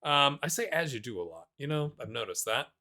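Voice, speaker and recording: A man casually commentating over his own football management game, chatty and self-deprecating, muttering asides and then erupting with excitement at the big moments. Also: mid-range voice, fairly quick. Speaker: gaming YouTuber playing a football manager game.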